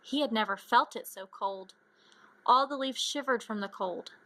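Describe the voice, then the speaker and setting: A woman's voice: on the high side, moderate pace, pushed and bright, rough recording. A woman reading a children's storybook aloud as an amateur narrator. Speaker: amateur reader, choir member.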